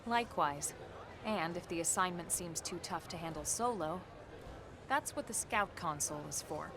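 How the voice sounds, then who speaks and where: An actress playing a composed, level-headed female soldier and mentor in a video game, measured, matter-of-fact and gently reproving. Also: fairly low pitch, animated, noisy background. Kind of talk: video game cutscene acting.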